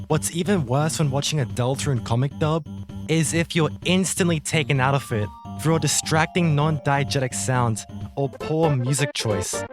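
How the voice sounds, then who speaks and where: Exasperated young man ranting over music. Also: medium pitch. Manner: exasperated, melodramatic, drawn-out emphasis, slangy. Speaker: video commentator. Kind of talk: scripted YouTube commentary rant.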